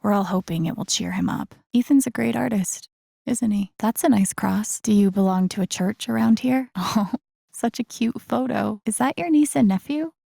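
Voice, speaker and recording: An actress playing a friendly, wry and earnest young woman in a video game, talking in a casual, gently teasing way. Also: mid-range voice, normal speed, gravelly, no echo, clean studio sound. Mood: friendly, curious. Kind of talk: naturalistic video game dialogue acting.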